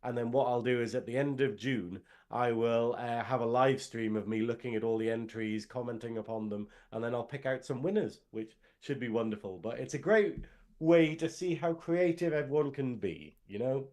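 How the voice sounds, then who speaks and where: A man's voice: medium pitch, moderate pace, a little mellow. A man, co-hosting a casual podcast and rambling in a jokey way with lots of fillers. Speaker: podcast co-host. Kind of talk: casual chat.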